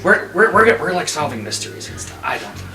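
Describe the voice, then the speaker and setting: A man's voice: a little high, big pitch swings, fairly quick, echoey room, far from the mic, music in the background, pitched above the performer's own voice. A man playing an awkward, self-deprecating young nobleman musician who stammers sheepishly in a fantasy tabletop game.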